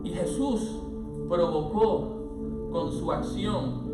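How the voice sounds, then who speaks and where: A pastor preaching a sermon, swelling from quiet passages into loud, sung-out lines, with music playing underneath. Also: male voice, a little high, very slow, reverberant, far from the mic.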